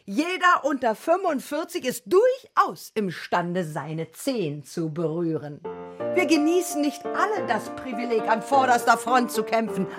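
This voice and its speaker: Slow, commanding exercise drillmistress over piano. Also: female voice, fairly high pitch. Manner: commanding, drawn-out counting, exhorting. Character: 39-year-old drillmaster woman leading compulsory party exercises. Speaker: exercise instructor. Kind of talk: radio drama acting. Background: piano music.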